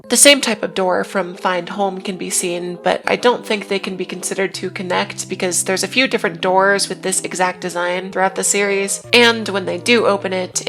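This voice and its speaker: A woman's voice: medium pitch, fairly bright tone. A woman narrating a scripted video essay, retelling events plainly with wry asides.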